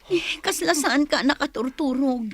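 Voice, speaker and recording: A woman's voice: high voice, unhurried, fairly bright tone. An actress playing a distressed young woman who pleads tearfully in a radio drama, with a Filipino accent. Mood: pleading. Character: distressed young woman pleading over her gambling-addicted fiancé. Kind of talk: Philippine radio drama acting.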